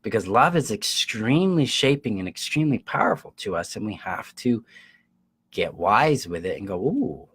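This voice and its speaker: A man with a fairly low, noticeably husky voice speaks as a self-help coach. He explains things emphatically to his viewers, in a very dry, close sound. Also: animated, moderate pace, fairly bright tone, no echo. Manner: emphatic, acts out imagined quotes, checks in with listeners.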